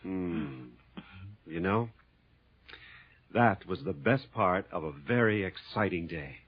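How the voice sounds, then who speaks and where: An actor playing a scientist husband who narrates his own lab mishap in an old radio drama, with lively storytelling. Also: deep voice, slow, breathy edge, heavily acted. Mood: pleased. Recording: dull lo-fi radio drama recording with hiss.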